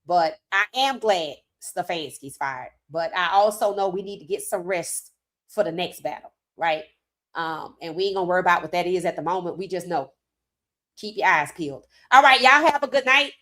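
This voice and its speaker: A woman hosting a sports commentary livestream, hoarse from talking, animated and emphatic with casual slang. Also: lowish voice, normal speed. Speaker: host.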